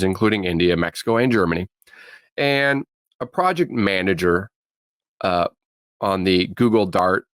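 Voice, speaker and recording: A man presenting tech news with his own opinions as a podcast panelist, in a halting way with repeated phrases. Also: fairly low pitch, clear, resonant tone, dry recording, clean studio sound.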